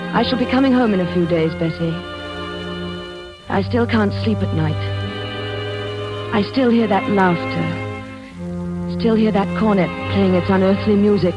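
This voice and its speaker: A woman's voice: mid-range voice, lightly acted. An actress playing a frightened, haunted woman in an old-time radio suspense drama, speaking with dramatic, breathless emotion. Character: frightened, haunted governess-like woman, earnest and desperate. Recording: dull old radio recording, music behind.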